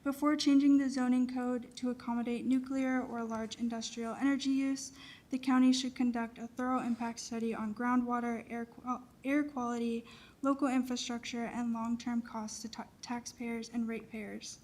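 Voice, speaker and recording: A woman's voice: a little high, flat delivery, moderate pace, darkish tone. A young woman from Iowa giving an earnest prepared public comment at a county meeting. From Des Moines, Iowa. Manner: earnest, reads from notes.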